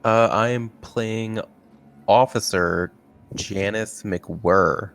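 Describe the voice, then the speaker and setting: Slow, smooth man with a low voice. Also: fairly bright tone. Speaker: player. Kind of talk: casual chat, describing a game character.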